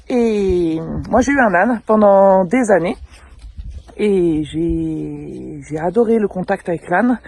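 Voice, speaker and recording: A woman in her thirties from the French Alps, who raises mules as an amateur, introducing herself casually outdoors in a field beside her animals and pausing often to hesitate. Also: fairly low pitch, warm tone. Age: 30s. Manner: hesitant, frequent filler pauses. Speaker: amateur mule breeder. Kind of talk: casual self-introduction to camera.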